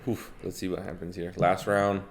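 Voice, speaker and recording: Nasal podcast dude, kinda low voice, chatty fight banter. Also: male voice, moderate pace. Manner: excitable, swings into loud reactions. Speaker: podcast co-host. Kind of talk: casual live fight-watch banter.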